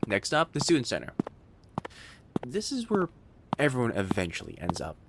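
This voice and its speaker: A man playing a friendly, attentive young kitsune college student in an audio roleplay, speaking directly to the listener with soft teasing and hesitant pauses. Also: mid-range voice, huge pitch swings, very noisy. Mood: playful, welcoming. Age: young adult. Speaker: campus guide to a new student. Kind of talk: scripted boyfriend-style audio roleplay.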